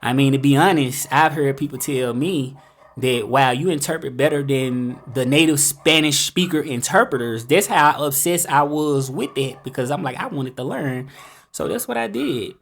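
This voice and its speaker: A man in his thirties with a Southern US accent speaks straight to his viewers. His pitch sits mid-range. He rambles, with plenty of "um" and "like" as he circles back over his thoughts. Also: normal speed. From United States. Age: 30s. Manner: rambling, many fillers and restarts. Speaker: vlogger. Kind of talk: casual monologue to camera. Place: home in Mexico, dogs barking outside.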